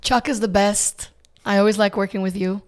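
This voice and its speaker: A woman's voice: mid-range voice, steady tone, moderate pace. A woman born in Israel and raised in the US, a realtor guest speaking earnestly in a podcast chat, often stopping and restarting. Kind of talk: casual chat.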